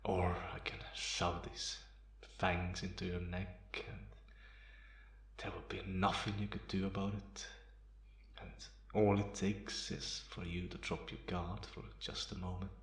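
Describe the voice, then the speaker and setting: A man playing a calm, menacing male vampire in an ASMR roleplay, speaking in a deliberate, taunting way in a roomy, echoing space. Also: low-pitched. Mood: quietly menacing. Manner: deliberate, softly chuckling, taunting. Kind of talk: ASMR roleplay, slow intimate monologue.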